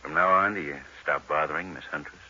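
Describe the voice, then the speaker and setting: An actor playing a cool, menacing casino racketeer in a 1940s radio crime drama, clipped and wry. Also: male voice, extremely low pitch, quick pace, bright, forceful tone, exaggerated voice. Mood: cool, controlled. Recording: muffled vintage radio with faint hiss.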